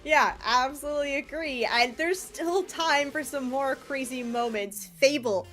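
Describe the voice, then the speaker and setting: A woman's voice: high-pitched, measured pace, fairly bright tone, faint music behind. A woman giving analytical esports commentary in a conversational, explanatory way.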